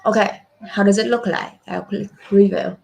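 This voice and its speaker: A young adult woman walking through a software demo step by step, unhurried, with frequent fillers and self-corrections. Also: medium pitch, fairly even intonation, gravelly. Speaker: software product presenter. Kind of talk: screen-share software demo walkthrough.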